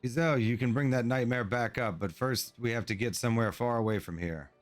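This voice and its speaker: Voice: mid-range voice, brisk, lightly acted. An actor voicing a haughty fae guardian. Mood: concerned. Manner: aloof and theatrical. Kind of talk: game cutscene voice acting.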